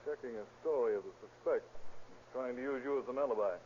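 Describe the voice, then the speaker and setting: An actor playing a brisk, deferential sheriff's deputy, clipped and matter-of-fact, in 1930s radio drama style. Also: male voice, mid-range voice, darkish tone, close to an everyday voice. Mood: businesslike. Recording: muffled 1930s radio recording with hiss.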